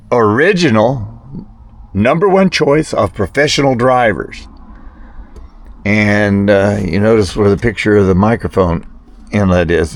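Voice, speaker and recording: Guy with kinda low voice rambling casually about his hobby, halting, keeps losing his thread. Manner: hesitant, halting, wandering off mid-thought. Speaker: vintage radio hobbyist vlogger. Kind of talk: rambling casual product chat to camera.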